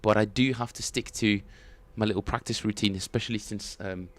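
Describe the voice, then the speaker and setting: Easygoing French-accented man, lowish voice. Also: steady tone, fairly quick, a bit bright. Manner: easygoing, self-mocking, chuckles often. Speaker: bass player streaming his practice. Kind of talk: casual livestream chat.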